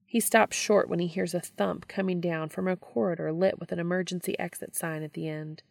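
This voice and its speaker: Woman narrator, low-leaning pitch, vocal fry, dry close recording, expressive storytelling. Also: a little fast, no echo. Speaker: fan fiction narrator.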